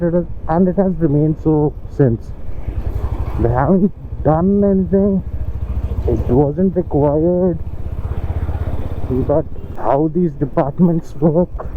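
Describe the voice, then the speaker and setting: A young man from Delhi with an Indian English accent, Hindi his first language, giving wry, chatty commentary and riding advice while riding a motorcycle through city traffic, recorded on a helmet camera. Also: a little high. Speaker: motorcycle vlogger. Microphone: helmet-mounted action camera mic. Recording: tinny, lo-fi helmet cam audio with clear road noise. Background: traffic and engine noise.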